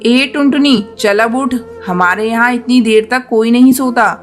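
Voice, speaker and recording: A woman's voice: a little high, a little slow, faint music behind, natural voice. A woman voicing a cruel female crow wife who scolds sharply. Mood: harsh.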